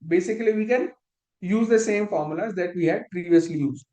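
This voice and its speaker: High-voiced man teaching a spreadsheet class. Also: a little fast, dark tone, reverberant. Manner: step-by-step, patient, restarts phrases. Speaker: instructor. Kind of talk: live online spreadsheet lesson.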